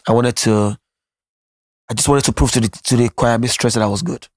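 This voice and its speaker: A man's voice: medium pitch, fairly even intonation, brisk, no room sound, studio quality. A Nigerian man whose first language is Yoruba, talking earnestly and reflectively as an interview guest, often restarting his sentences. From Nigeria (Lagos). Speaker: musician guest. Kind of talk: candid interview conversation.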